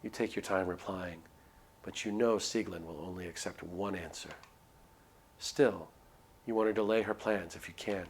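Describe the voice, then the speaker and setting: Low-voiced man streaming, noisy background. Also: moderate pace. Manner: dramatic storybook reading mixed with casual asides. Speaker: game streamer reading a visual novel aloud. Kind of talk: live stream playthrough, narrating and commenting.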